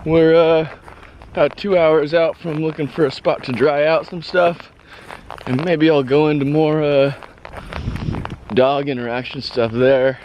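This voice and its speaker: A hoarse man vlogging casually while hiking, rambling and halting, with frequent laughs. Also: a little high, steady tone, dull audio, slight background noise. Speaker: hiker vlogger. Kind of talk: casual trail vlog. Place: outdoors on a rural trail.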